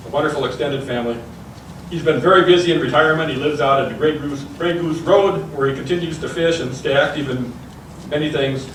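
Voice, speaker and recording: A man's voice: fairly high pitch, brisk, a bit bright, distant mic. A middle-aged man at a podium in a large meeting room giving a formal introduction with small stumbles. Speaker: host introducing speaker. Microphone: podium mic. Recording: echoey room, mic from afar, faint hiss.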